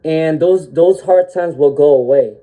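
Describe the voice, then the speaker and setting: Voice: mid-range voice, unhurried, poor audio. A tarot reader doing a live-stream reading while fighting a cold, with frequent "um"s and a habit of tagging "okay?" onto sentences. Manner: ends sentences with a checking "okay?"; frequent "um" fillers, gentle reassuring delivery. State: has a cold, sniffling.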